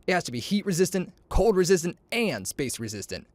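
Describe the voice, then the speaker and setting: A man reading a children's story aloud, acting out the dialogue in an expressive, playful, boastful way. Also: high voice, brisk, no room sound.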